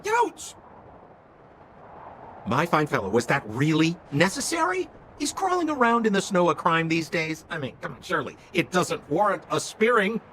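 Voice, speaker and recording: A man's voice: high-pitched, sing-song, normal speed, fairly bright tone, a little hiss or hum. A man voicing a slick, theatrical male con-man relic dealer in a video game, with glib, wheedling salesman patter. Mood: mock wounded.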